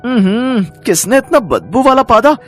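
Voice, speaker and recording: A man playing an exasperated, scolding man in an animated moral story, reproachful and mocking in manner. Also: extremely high pitch, unhurried, soft background music, full character voice. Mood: disgusted. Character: exasperated, scolding men around a greedy teacher.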